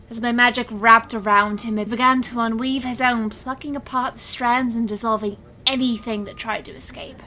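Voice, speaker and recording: A woman's voice: a little high, a bit bright, slight background noise. A woman playing a proud, intense stage illusionist who narrates her own magical story in a theatrical, earnest audio drama style. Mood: proud, resolute. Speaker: narrator and lead character. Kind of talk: scripted first-person audio drama narration.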